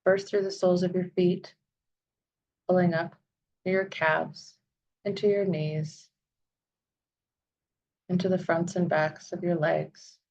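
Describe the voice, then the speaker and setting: A woman leading a guided visualization meditation, speaking in soothing, deliberate phrases with long pauses. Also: lowish voice, fairly even intonation, darkish tone, dull audio.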